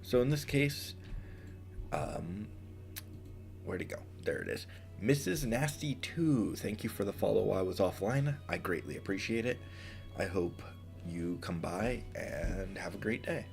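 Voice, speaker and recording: A man with a stuffy, congested voice from a cold, chatting casually on a gaming stream, halting and apologetic with many ums. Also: on the low side, lively intonation, vocal fry, darkish tone, faint music behind.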